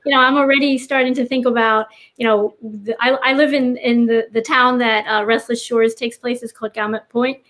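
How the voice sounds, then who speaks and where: Higher-voiced woman, earnest interview guest. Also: steady tone, normal speed, few pauses, fairly bright tone. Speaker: writer, podcast guest. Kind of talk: casual interview chat.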